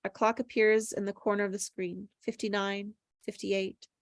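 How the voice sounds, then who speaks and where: A woman's voice: medium pitch, little pitch movement, normal speed, dry recording, clean studio sound. A woman reading stage directions aloud from a screenplay at a table read.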